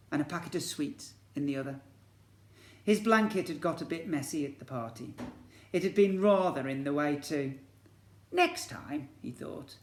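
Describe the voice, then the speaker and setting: A man's voice: on the high side, vocal fry. A man reading a storybook aloud to young children like a teacher, expressively and with questions to the listeners. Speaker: infant school teacher reading to pupils. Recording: echoey room.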